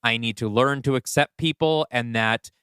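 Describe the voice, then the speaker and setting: A man with a clear, smooth voice at a middle pitch talks casually as a podcast co-host. He thinks aloud in a conversational way, and the recording is close and dry. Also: moderate pace, a bit bright, no echo. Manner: thinks aloud, often says "like". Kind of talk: casual brainstorming chat.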